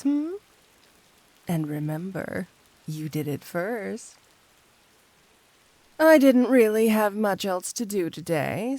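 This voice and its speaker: A woman's voice: mid-range voice, a little mellow, no echo. A woman playing a flirty, teasing supervillain cat burglar in an intimate ASMR roleplay, speaking coyly to the listener. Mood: playful, flirty. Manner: coy, teasing, intimate, unhurried.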